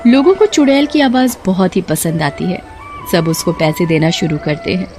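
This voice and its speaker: A woman's voice: lowish voice, lively intonation, moderate pace, darkish tone, faint music behind. A woman narrating a scripted tale as a storyteller.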